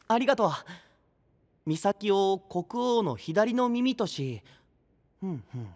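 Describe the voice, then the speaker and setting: A man playing a cheerful, easygoing young guide to sea legends in an anime-style audio drama, explaining in a breezy, drawn-out way. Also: high-pitched, big pitch swings, a little mellow, exaggerated voice. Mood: animated, explaining.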